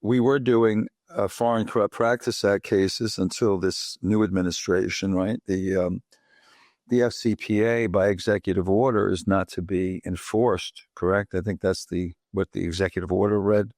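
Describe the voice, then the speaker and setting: Gravelly New man hosting a podcast. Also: sits low, steady tone, normal speed, a little mellow, dry recording, clean studio sound. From Bronx, New York. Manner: chatty, asks leading questions with frequent "right?". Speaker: podcast host. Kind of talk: conversational interview.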